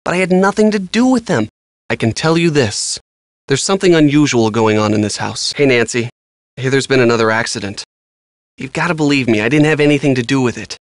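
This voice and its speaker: A man's voice: mid-range voice, brisk, no room sound, studio quality, close to an everyday voice. An actor playing an earnest young American handyman in a video game, talking casually and a little defensively. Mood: defensive, uneasy. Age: young adult. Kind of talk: conversational video game dialogue.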